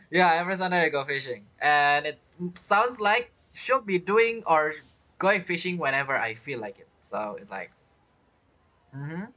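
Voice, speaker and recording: A man's voice: a little high, sing-song, unhurried, sharp tone, faint music behind. A young man giving chatty, playful commentary over a game playthrough. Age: young adult. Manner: chatty, playful, reacts to the characters. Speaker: gaming streamer.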